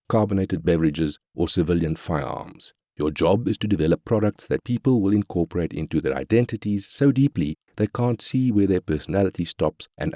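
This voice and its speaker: An arrogant business magnate with an extremely deep voice, recorded dry and close. He is brash, cynical and commanding, a callous corporate boss from a strategy game. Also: warm tone, dry recording. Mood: smug, cynical. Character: ruthless, arrogant South African corporate tycoon. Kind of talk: scripted game character quotes.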